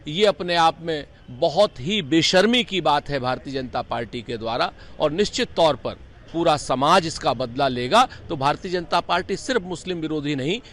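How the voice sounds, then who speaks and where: A man's voice: on the high side, fairly bright tone. A man giving a political statement as a party spokesman, with emphatic, repetitive oratory.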